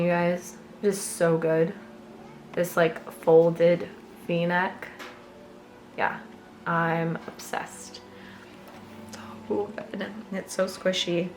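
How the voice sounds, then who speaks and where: A woman vlogger chatting casually about her knitting, her voice still a little hoarse as it recovers, rambling with fillers and light laughs. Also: fairly low pitch, flat delivery, takes it slowly, pauses often, echoey room, a little hiss or hum. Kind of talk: casual chat to camera.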